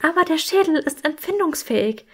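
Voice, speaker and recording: A woman's voice: high-pitched, lively intonation, a little fast, voice pushed up. A woman voicing an earnest, curious and flustered young witch in an audiobook, hesitant and urgent. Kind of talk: audiobook character acting.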